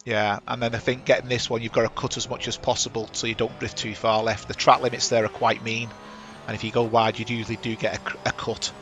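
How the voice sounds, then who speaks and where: A man's voice: medium pitch, little pitch movement, fast, fairly bright tone, soft background music. A chatty man hosting a sim racing stream on a headset, talking over the action and correcting himself. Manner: chatty, rambling, self-correcting. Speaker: sim racing broadcast host. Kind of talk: live race commentary.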